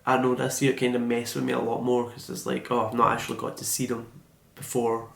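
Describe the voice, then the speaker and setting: A man's voice: medium pitch, completely flat delivery, brisk, vocal fry, fairly bright tone, roomy sound. A young man around eighteen recording an earnest, self-reflective birthday vlog, rambling with lots of "like"s. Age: young adult, about 18 to 19. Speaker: vlogger. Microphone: camera mic.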